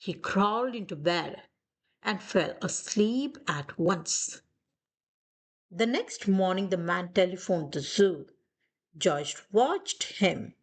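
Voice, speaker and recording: A woman reading a children's storybook aloud in an expressive, deliberate narrating style. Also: fairly low pitch.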